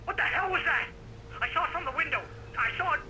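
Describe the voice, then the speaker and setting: A man's voice: extremely high pitch, expressive, fast talker. A man playing a jittery, panicky friend in a horror game, agitated and repeating himself. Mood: panicked. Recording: loud background noise.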